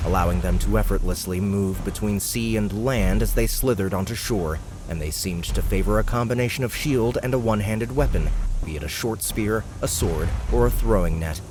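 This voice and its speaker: Brief: male storyteller for scripted fantasy audiobook, lower register, faint noise behind the voice. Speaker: audiobook narrator.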